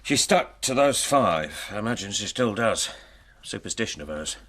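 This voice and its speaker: An actor in a radio drama playing a genial, wry, self-assured retired police detective. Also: male voice, on the low side, lively intonation, moderate pace, a bit bright. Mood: wry, reminiscing. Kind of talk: naturalistic radio drama acting.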